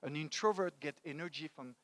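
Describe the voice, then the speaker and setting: A man in his forties from France, with a French accent, giving a motivational talk into a handheld microphone in a halting, emphatic way. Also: a little high, background noise. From France, grew up in Paris. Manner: halting, emphatic, repeats words for stress. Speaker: conference speaker, storytelling coach. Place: indoor stage at a conference.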